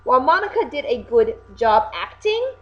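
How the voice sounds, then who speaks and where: A young woman co-hosting a casual video, chatting excitably and often correcting herself. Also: sits high, moderate pace. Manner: animated, self-correcting, excitable. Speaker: video co-host reviewing anime voice acting. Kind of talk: casual chat. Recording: dull lo-fi home recording.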